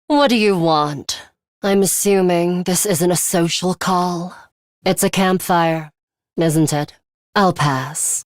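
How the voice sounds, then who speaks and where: A cold, unhurried, aloof young female fighter. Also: mid-range voice, no room sound, clean studio sound. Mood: aloof, morbid. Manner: composed, formal, deadpan, disdainful. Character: cold, aloof, bloodthirsty young woman fighter with morbid tastes. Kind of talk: English video game voice acting.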